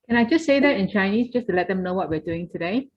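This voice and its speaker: A woman hosting a video-call class, interpreting the teacher's words in a brisk, friendly manner. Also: medium pitch, animated, dull audio.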